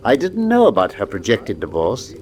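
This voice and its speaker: An actor playing a brisk, analytical detective with an English accent, speaking with assured, theatrical precision in a radio drama. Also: male voice, lowish voice, wildly swooping pitch, darkish tone. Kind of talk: British radio drama acting.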